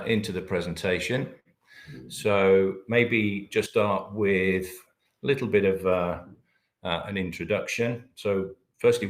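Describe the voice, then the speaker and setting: Middle-aged british guy, really deep voice, lots of uhs and ums, echoey room, webinar talk. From Britain. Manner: hesitant, many filler sounds. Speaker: webinar presenter, managing director. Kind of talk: business webinar presentation.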